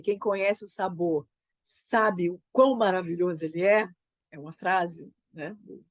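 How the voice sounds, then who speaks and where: A woman's voice: medium pitch, darkish tone, no room sound. Brazilian woman getting over a cold. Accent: Brazilian Portuguese. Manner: thoughtful, halting, frequent "né?" tags. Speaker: theater creator. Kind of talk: reflective conversation.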